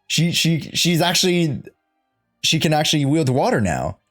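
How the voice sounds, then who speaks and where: A man's voice: a little high, very animated, moderate pace, a bit bright, faint music behind. A man giving casual reaction commentary on a game, musing aloud, repeating himself and checking his understanding as he goes.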